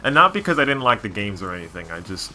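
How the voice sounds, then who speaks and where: Male gaming co-host, lowish forceful voice, opinionated rambling banter, slight noise behind. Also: sing-song, fast talker. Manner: opinionated, rambling fan talk. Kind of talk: casual gameplay commentary banter.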